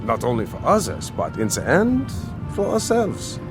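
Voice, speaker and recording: An actor playing a polite young taxi driver in a radio drama, courteous and measured, philosophical with a quietly pointed, knowing manner. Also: male voice, on the high side, huge pitch swings, over music. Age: young adult.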